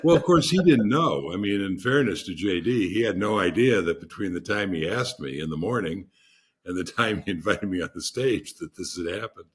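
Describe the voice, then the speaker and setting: A man with a deep voice, a podcast guest telling rambling anecdotes in a casual interview, often restarting his sentences. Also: lively intonation, normal speed, husky.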